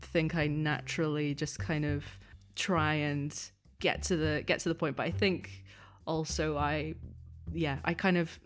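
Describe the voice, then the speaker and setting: A woman with a low-pitched voice chatting casually as a podcast guest. She is thoughtful and a little tentative, with a modest way of putting things, over faint music. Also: fairly even intonation, unhurried. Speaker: podcast guest, audio fiction producer. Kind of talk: casual interview chat.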